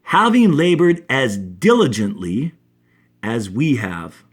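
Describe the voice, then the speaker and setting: Man reading as a halting, pompous town leader. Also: medium pitch, sing-song, breathy edge, heavily acted. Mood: aggrieved. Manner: halting, formal, faintly pompous. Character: pensive, grumbling male town leader in a storybook.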